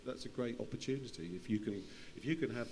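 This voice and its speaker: A man's voice: medium pitch, quick pace, a little mellow. A man speaking off the cuff on a conference panel, often repeating and restarting his phrases. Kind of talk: unscripted panel discussion. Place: conference session in a large room. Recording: hall reverb with faint hum and noise.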